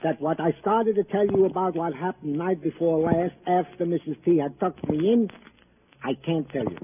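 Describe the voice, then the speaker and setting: Man as a high-voiced, droll, wordy police colleague. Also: normal speed, natural voice. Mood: droll, chatty. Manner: wordy, mock-formal, meandering. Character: chatty, droll male police colleague telling domestic anecdotes. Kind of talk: old-time radio comic-relief acting. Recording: narrow, lightly noisy old radio recording.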